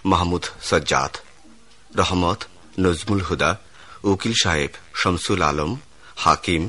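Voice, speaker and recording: A male radio announcer reading out the closing credits of a drama in a measured, formal way. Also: low-pitched. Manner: measured, even reading of names.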